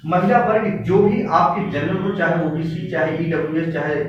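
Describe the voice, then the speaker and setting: A man's voice: on the high side, moderate pace, crowd noise. A man giving an informal lesson to students as a coaching teacher, emphatic and repetitive, speaking directly to his listeners. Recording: very echoey room, distant mic, dull, faint noise.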